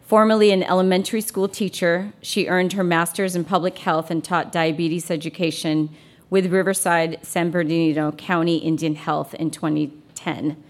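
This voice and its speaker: A woman with a fairly low voice speaks as a panel moderator. Her delivery is formal and orderly, suited to introducing guests and posing questions. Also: level tone, moderate pace.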